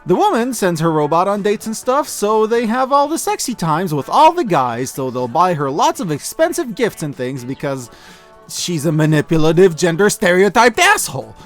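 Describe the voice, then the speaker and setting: A man hosting a scripted review in a casual, joking, wry way, with expressive, emphatic delivery. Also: high-pitched, moderate pace. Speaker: host of a year-end media review show. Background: music behind the voice.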